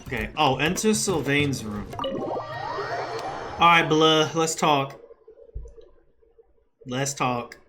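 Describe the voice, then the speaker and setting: A gamer playing and reacting in a casual, slangy, animated commentary, swearing and reading dialogue aloud. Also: medium pitch, very slow, pauses often, background noise. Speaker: gaming streamer playing and reacting. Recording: game music behind the voice.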